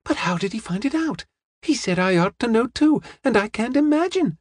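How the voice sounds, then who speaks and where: Man putting on a high-pitched earnest young woman. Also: sing-song, moderate pace, husky, no echo, studio quality, full character voice. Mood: amazed.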